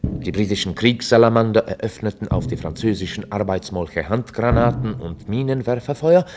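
An actor playing a formal chronicler, narrating a scripted radio drama in a documentary manner. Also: male voice, lowish voice, normal speed, noisy background, close to an everyday voice. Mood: measured, dry. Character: formal chronicler recounting a history.

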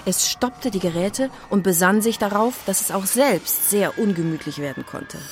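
A woman's voice: mid-range voice, expressive, moderate pace, a little hiss or hum. A woman narrating a children's radio play in a scripted storytelling style. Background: music.

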